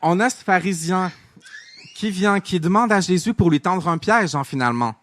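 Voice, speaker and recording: A young man from Canada with a Québécois French accent, preaching a sermon in an earnest, halting way with many restarts. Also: high voice, fairly bright tone.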